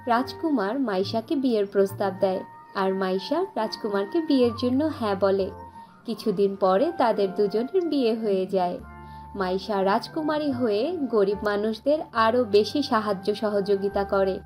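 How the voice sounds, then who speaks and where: A woman's voice: medium pitch, moderate pace, darkish tone, soft background music. A woman reading scripted fairy-tale narration as the storyteller.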